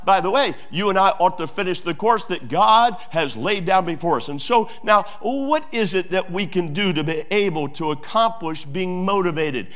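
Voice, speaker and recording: Fairly high-voiced man preaching emphatically. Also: fairly bright tone. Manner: emphatic preaching with repetitions and fillers. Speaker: pastor. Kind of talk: sermon.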